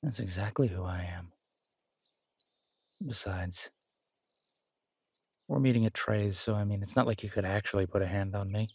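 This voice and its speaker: A man playing a confident, protective alpha werewolf boyfriend in an intimate roleplay audio drama, husky and soothing, teasing and reassuring in a casual way. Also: on the low side, fairly even intonation, moderate pace, pauses often. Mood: playful, boastful.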